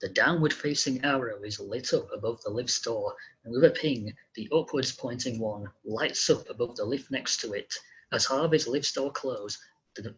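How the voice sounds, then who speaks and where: A man's voice: mid-range voice, a bit bright, breathy edge, low-quality recording. A man reading screenplay scene directions as dramatic narration. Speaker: script reader. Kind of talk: scripted narration, screenplay read-through.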